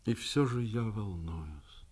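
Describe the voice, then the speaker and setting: An actor in a Soviet radio play playing a middle-aged, principled school principal and worried father, speaking in a deliberate, reflective manner. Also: fairly low pitch, expressive, darkish tone, slightly rough, heavily acted. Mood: pensive, worried. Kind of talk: Soviet radio drama acting, narrating.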